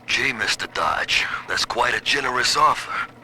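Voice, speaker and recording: A man's voice: medium pitch, lively intonation, measured pace, background noise, natural voice. A man playing an obliging, indebted prison inmate in a video game audio log. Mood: obliging, wry.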